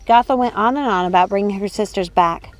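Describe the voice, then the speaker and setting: A woman's voice: medium pitch, fairly quick, faint music behind. A woman reading scripted storybook narration as an audiobook narrator.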